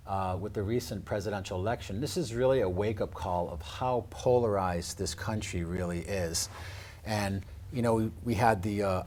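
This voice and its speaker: A man with a fairly low voice speaks in an unscripted radio discussion. He is discursive, stringing thoughts together into long, winding sentences.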